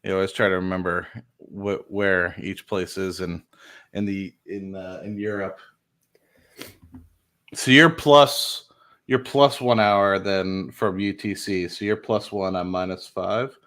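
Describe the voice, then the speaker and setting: A man hosting a casual livestream from home, rambling and correcting himself in quick bursts. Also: low voice, fairly even intonation, fairly bright tone. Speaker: livestream host.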